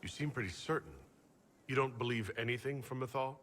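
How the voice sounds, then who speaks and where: A man's voice: medium pitch, a little fast, a bit bright, a little hiss or hum, lightly acted. A man playing an earnest, level-headed heroic leader in a video game, polite and restrained. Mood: thoughtful. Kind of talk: video game character dialogue.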